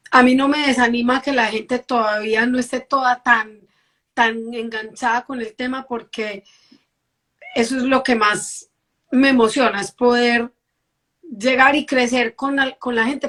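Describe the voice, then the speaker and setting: Earnest higher-pitched woman hosting a live. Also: a bit bright. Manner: earnest, encouraging. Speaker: host. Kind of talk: casual live-stream chat.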